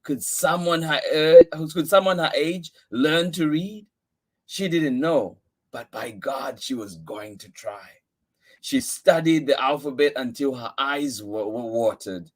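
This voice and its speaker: Male story-time host, unhurried delivery, reading a picture book with dramatic, expressive emphasis. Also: medium pitch. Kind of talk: expressive read-aloud storytelling.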